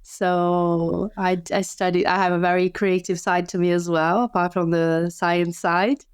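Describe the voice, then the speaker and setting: A woman from Brazil speaks in a smooth, resonant, slightly low voice, chatting as a podcast guest. She talks casually and restarts her sentences often. The sound is close and dry, with no room around it. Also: level tone, measured pace, no echo. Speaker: podcast guest, sleep health coach. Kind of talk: casual interview chat.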